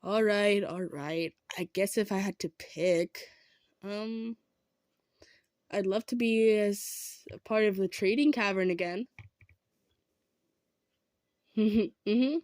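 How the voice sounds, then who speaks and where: A woman's voice: mid-range voice, slow, long pauses, no echo, clean studio sound, lightly acted. A woman voicing a kind, self-effacing female centaur in an improvised audio roleplay, speaking earnestly and a little hesitantly to the listener. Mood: shy, hopeful. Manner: earnest, hesitant, gentle insisting.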